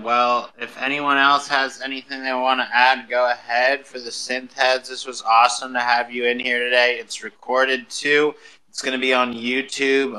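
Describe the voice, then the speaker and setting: A man's voice: medium pitch, sharp tone, rough recording. A man hosting a live audio chat in a casual, informal way. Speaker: host.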